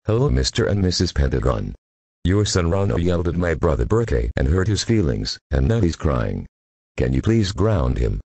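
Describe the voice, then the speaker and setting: Tts guy as a very deep breathy big brother, stern, swoopy pitch, dry sound. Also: big pitch swings, normal speed, dark tone, no echo, full character voice. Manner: stern, scolding. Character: stern deep-voiced protective older brother. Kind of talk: computer-voiced fan cartoon.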